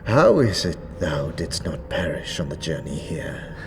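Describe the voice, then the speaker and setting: A man voicing an ancient, weary undead ruler who speaks in archaic thou-speech, grave and slow. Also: extremely low pitch, sing-song, long reverb tail, background noise, pitched below the performer's own voice, exaggerated voice. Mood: pleading.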